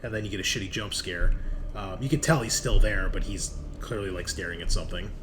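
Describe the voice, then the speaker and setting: A man's voice: sits low, brisk. A man narrating a tabletop horror game as game master, in a conversational way. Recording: noticeable background noise.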